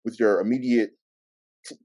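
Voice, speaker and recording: Male panelist, reflective advice. Warm dark tone, dry close sound, halting stutter, measured. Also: lowish voice, steady tone, husky, no echo. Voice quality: stutter with repeated words and blocks. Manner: halting, measured, frequent stalling. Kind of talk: reflective advice and personal anecdote.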